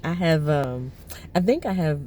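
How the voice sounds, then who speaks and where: A woman in her thirties vlogging from her parked car, her voice hoarse and lowered from allergies, rambling with many fillers and restarts. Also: expressive, measured pace, mellow, slight background noise. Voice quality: lowered, husky voice. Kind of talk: casual personal vlog. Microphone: phone on the dashboard.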